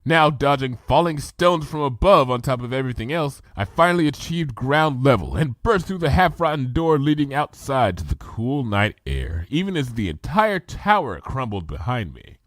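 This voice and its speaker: A man's voice: mid-range voice, very animated, normal speed, hardly any pauses, no room sound. A man reading a comedy adventure story aloud with vivid first-person action narration. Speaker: fanfiction reader.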